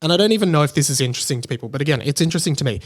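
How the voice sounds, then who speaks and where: Guy with a kinda high voice doing a chatty solo podcast, rambles along, says right? a lot. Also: expressive, racing. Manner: rambling, conversational, often tags points with "right?". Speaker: podcast host. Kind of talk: casual solo podcast monologue.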